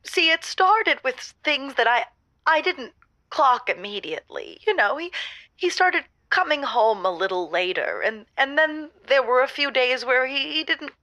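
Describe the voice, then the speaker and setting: Fretful, halting, bright-voiced female caller. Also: high-pitched, very animated, no room sound, full character voice. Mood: anxious. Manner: halting, stammering, fretful, melodramatic. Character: timid, lovelorn, suspicious woman caller. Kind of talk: improvised comedy call-in radio show.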